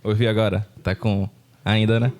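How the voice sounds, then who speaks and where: A Brazilian man from the interior of Bahia, a head of engineering, introducing himself casually and haltingly at a meetup. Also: on the low side, flat delivery, a little mellow.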